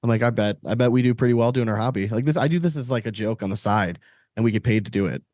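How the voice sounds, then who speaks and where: A man's voice: fairly low pitch, fast talker, a little mellow, no echo, clean studio sound. A man hosting a podcast in casual chat, blunt and prone to swearing. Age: 30s or older. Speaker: podcast host.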